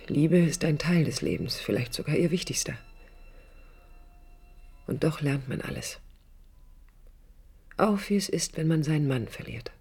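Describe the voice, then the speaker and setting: An actress playing a proud, vulnerable yet resolute woman architect in a radio play, speaking in a reflective, deliberate, emphatic way with a breathy voice. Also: low-pitched, warm tone. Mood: pained, resolute. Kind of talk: radio play acting, introspective first-person monologue.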